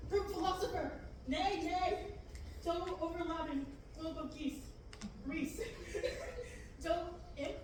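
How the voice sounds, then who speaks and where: A woman reading a fussy male droid part, stumbling through archaic verse lines. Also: high voice. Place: large classroom, indoors. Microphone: far camera. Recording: echoey room, far mic, some noise.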